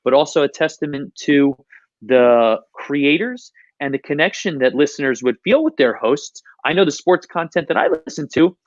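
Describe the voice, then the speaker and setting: A man's voice: on the high side, no room sound. Man explaining things, dry dull-sounding recording. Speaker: podcast guest, podcast industry professional. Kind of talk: conversational interview explanation.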